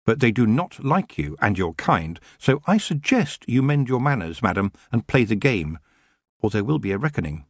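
A man's voice: mid-range voice, very animated, moderate pace, dry recording, studio quality. A man voicing a stern young witch in a storybook, firm and scolding. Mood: stern warning. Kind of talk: audiobook character voice.

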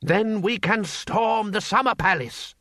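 A man's voice: sits high, expressive, a little slow, bright, forceful tone, no room sound, clean studio sound, pitched above the performer's own voice, exaggerated voice. A man voicing an earnest, polite male revolutionary leader who gives rousing speeches.

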